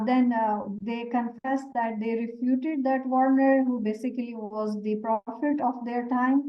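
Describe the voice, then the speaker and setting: Urdu-native woman teacher, slow measured explanation, muffled lo-fi audio. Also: high voice. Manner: measured explaining with frequent fillers. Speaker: Quran teacher. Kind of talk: explaining verse meanings in a lesson.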